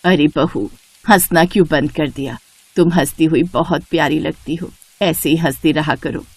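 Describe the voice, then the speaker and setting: A woman voicing a kindly, concerned older mother-in-law in a family story, gentle and motherly. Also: low-pitched, moderate pace, husky, no echo, voice pushed down. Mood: affectionate, welcoming. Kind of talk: Hindi family storybook dialogue.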